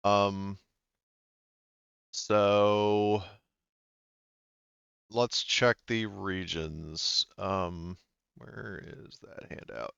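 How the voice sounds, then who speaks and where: A man with a very low, smooth, resonant voice runs a tabletop game, rambling through his notes with plenty of fillers and restarts. The sound is close and dry over a home computer mic on a voice call. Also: flat delivery, extremely slow, long pauses, fairly bright tone, no echo, muffled recording. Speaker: game master.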